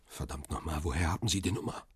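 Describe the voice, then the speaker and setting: A man playing a cool, cynical paparazzo in a crime radio drama, speaking in a measured, deliberate and guarded way. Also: low-pitched, expressive, slightly rough, no room sound, studio quality, exaggerated voice. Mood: curt, irritated. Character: male paparazzo photographer, cool, cynical, guarded first-person narrator. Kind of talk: first-person crime radio drama narration and dialogue.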